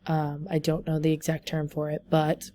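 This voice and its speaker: A young woman giving a class presentation over slides, losing her voice, talking haltingly with many ums and likes. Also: sits low, completely flat delivery, mellow, slight background noise. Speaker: student presenter.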